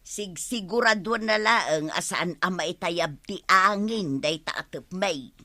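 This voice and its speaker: A woman's voice: lowish voice, takes it slowly, pushed and bright, no echo. A woman with an Ilocano accent playing a chatty, fretful villager in a radio comedy drama.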